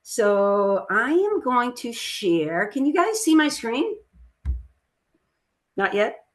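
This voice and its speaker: A woman's voice: a little high, sing-song, takes it slowly. Upbeat woman presenting a webinar. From United States. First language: Italian. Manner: upbeat, chatty. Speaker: webinar presenter, trade expert. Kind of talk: casual presentation. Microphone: video call.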